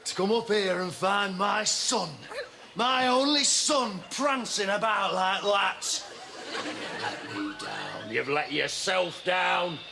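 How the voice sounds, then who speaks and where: A comedian puts on a gravelly, slow-talking dad who barks scorn at his son before turning into a camp dance coach. The voice swoops widely in pitch and sits high for a man, over loud music. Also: expressive, exaggerated voice. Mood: furious. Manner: shouting scorn, then coaxing and camp. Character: gruff angry dad turned flamboyant dance coach. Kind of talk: stand-up sketch voice.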